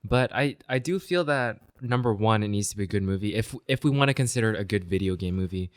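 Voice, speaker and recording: A man's voice: on the low side, lively intonation, fast, no room sound. A man co-hosting a podcast, chatting informally and giving firm opinions. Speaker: podcast co-host. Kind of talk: casual chat.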